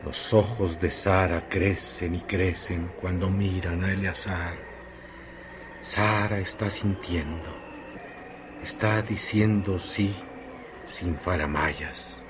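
A man narrating a radio drama in a slow, lyrical way. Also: sits low, steady tone, background noise.

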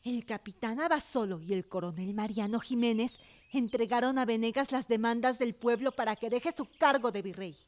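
An actress as a young Mexican lady devoted to revolution in a radio drama. Her voice is high and clearly feminine. She speaks with resolute, passionate emphasis. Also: moderate pace, lightly acted. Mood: elated. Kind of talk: Spanish-language radio drama acting.

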